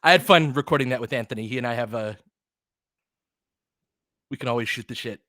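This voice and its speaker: A man's voice: mid-range voice, brisk, sharp tone, no room sound, clean studio sound. A man hosting a basketball stream, giving casual play-by-play mixed with chat in a conversational, slangy way with clipped phrases.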